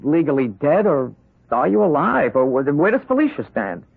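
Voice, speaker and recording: An actor playing a loyal but anxious young lawyer, hesitant and informally protesting, in an old radio drama. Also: male voice, fairly high pitch, sing-song, normal speed, vocal fry, breathy edge, exaggerated voice. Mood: doubtful. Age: young adult. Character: young attorney, anxious, loyal, skeptical subordinate. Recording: muffled vintage radio recording.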